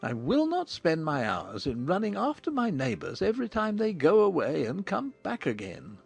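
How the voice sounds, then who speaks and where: A woman voicing a stubborn, disdainful older gentleman husband in a dramatized audiobook, gravelly and husky, with emphatic formal speech. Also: on the low side, wildly swooping pitch, normal speed, faint music behind, full character voice.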